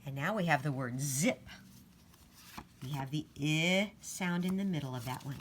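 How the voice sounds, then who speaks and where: A woman teaching young children, reading aloud and sounding out letters and words deliberately. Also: sits low, a little hiss or hum. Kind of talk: storybook read-aloud and phonics lesson.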